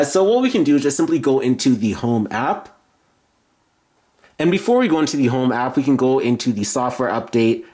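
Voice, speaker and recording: A man's voice: mid-range voice, a little mellow. Man presenting an informal tech demo. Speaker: tech reviewer. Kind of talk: product demo voice-over, explanatory.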